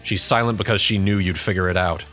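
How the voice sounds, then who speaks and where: Low-voiced male story narrator. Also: steady tone, fast, sharp tone, faint music behind. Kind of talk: scripted second-person story narration.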